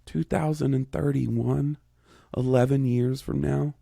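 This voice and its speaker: A man in his thirties co-hosting a podcast in casual chat, rambling and profane, getting excitable. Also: medium pitch, a little slow, vocal fry, no room sound, clean studio sound. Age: 30s. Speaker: podcast co-host.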